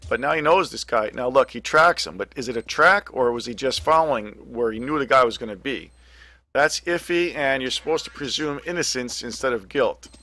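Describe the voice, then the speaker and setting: A middle-aged man with a scratchy, gravelly voice, casually commenting on a game replay, hesitant with many ums and restarts. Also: medium pitch, sharp tone. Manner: hesitant, lots of ums and restarts, thinking aloud. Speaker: gaming commentator.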